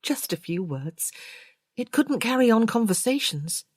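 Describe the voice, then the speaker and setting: Woman voicing an eager, inquisitive young gentlewoman, lightly raised. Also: fairly high pitch, very animated, a little slow, breathy edge, dry recording, studio quality, voice pushed up, full character voice. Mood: proud, modest. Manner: polite, keen.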